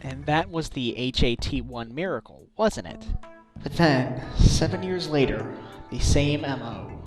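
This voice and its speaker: Man voicing earnest young game lawyer, medium pitch, determined, probing, dramatic, faint music behind. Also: lively intonation, lightly acted. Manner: deliberate, dramatic accusations. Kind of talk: video game fandub acting. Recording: amateur recording with music.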